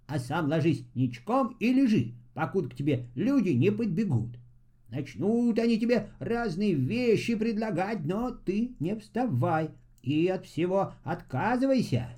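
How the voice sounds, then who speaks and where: A gentle old grey-haired helper from a storybook, acted out by a man. His voice sits high and swings expressively, with a soft tone and folksy, guiding manner. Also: very animated, measured pace. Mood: earnest instructing. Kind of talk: storybook fairy-tale voice acting.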